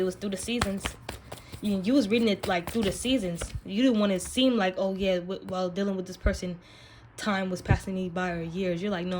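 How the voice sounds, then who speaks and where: Slangy woman card reader, noisy recording. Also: medium pitch. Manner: slangy, profane, emphatic direct address. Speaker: tarot card reader, channel host. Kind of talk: casual spiritual card reading to listeners.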